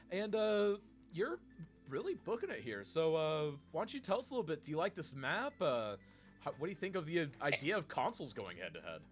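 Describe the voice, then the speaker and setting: A male host interviews contestants on a stream, his voice swinging up and down with animated intonation. He sounds upbeat and sprinkles in fillers as he talks. Soft music plays faintly in the background. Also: a little high. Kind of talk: livestream interview hosting.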